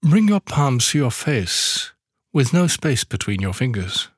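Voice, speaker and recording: Man guiding meditation, slow and dry. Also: medium pitch, big pitch swings, breathy edge, no room sound. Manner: slow, soothing instruction with long pauses. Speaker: meditation guide. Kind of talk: guided yoga nidra meditation.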